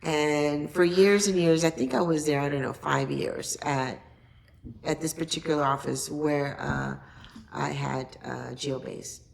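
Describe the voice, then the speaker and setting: Gravelly, low-voiced woman telling a story. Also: unhurried. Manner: conversational, acts out quoted exchanges. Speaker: podcast guest. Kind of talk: casual anecdote telling.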